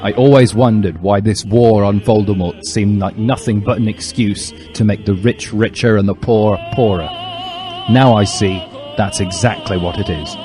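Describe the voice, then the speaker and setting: An earnest, rebellious schoolboy full of curiosity, played by a man reading aloud. The delivery is eager and story-like, with clear music behind the voice. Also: on the low side, normal speed, close to an everyday voice.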